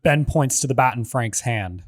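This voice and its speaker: A man reads out screenplay action lines in a measured, even delivery at a middle pitch. The recording is dry and close, with almost no room sound. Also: no room sound. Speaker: narrator reading stage directions. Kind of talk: scripted narration of a screenplay.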